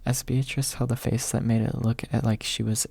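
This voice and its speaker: A man reading an audiobook in a soft ASMR narration style. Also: mid-range voice, flat delivery, normal speed, gravelly, husky, no echo.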